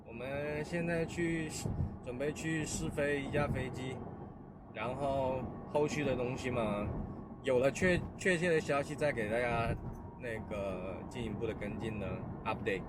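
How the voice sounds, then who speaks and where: Loud vehicle noise surrounds a man with a medium-pitched voice. He talks casually to his viewers as a vlog host, slipping English words into his sentences. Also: measured pace.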